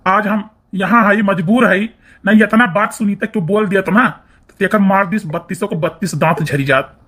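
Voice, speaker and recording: A man's voice: high voice, moderate pace, bright, forceful tone. Man playing a poor village husband, Awadhi accent, cartoon comedy, protective of his wife. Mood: resentful, restrained. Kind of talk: cartoon comedy dialogue in rural dialect.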